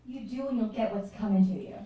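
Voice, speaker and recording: A woman's voice: mid-range voice, fast, noisy background, close to an everyday voice. A woman playing a mean, mocking bully girl in a stage radio play, with taunting, slangy street-kid talk. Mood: menacing teasing. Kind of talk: stage radio drama acting. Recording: echoey theatre, far from the mic.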